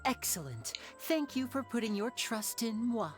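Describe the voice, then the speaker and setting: A suave young stage magician. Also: male voice, very high-pitched, big pitch swings, moderate pace, slightly rough, soft background music, full character voice. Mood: plotting. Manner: suave, theatrical, playful. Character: young male stage magician, charming and smooth. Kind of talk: game voice acting.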